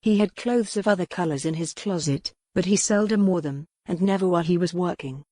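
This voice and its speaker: Calm woman narrating a novel. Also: on the low side, a little fast, no echo, studio quality. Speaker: audiobook narrator. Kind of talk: scripted narration.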